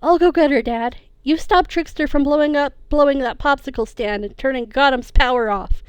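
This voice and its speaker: A woman voicing an earnest, pleading teenage boy sidekick in a storybook reading, speaking high and urgent. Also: moderate pace, dry recording, lightly acted. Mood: eager.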